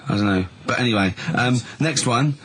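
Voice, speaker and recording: A man co-hosting radio, telling stories in a casual, halting way. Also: medium pitch, a bit bright. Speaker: radio show co-host. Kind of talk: casual chatty storytelling.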